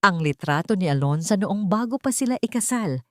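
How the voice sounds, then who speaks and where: A woman reading a scripted romance drama as narrator, in a measured, solemn storytelling manner. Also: medium pitch, lively intonation, fairly bright tone, no room sound, clean studio sound.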